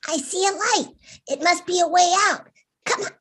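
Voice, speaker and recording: Squeaky, eccentric little cartoon rodent. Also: female voice, moderate pace, fairly bright tone. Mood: excited. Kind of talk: animated comedy table read.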